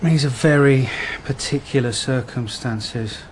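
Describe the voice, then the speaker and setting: An actor playing a terse, reserved senior police detective in a naturalistic radio drama, answering in short, understated phrases. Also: male voice, medium pitch, unhurried, slight background noise, natural voice, poor audio. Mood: subdued, apologetic. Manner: understated, short clipped replies.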